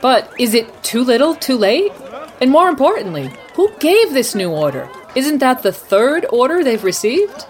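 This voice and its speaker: A woman playing an excitable news anchor covering a war live in a radio play. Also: high voice, normal speed, pitched above the performer's own voice. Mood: urgent, incredulous.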